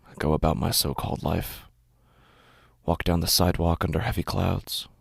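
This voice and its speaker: Man playing a gravelly, weary undead narrator. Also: sits low, steady tone, no echo, clean studio sound, full character voice. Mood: brooding, resigned. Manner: slow, halting, sombre, deliberate phrasing. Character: weary walking-dead man, numb, brooding, haunted narrator. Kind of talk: scripted horror audio drama monologue.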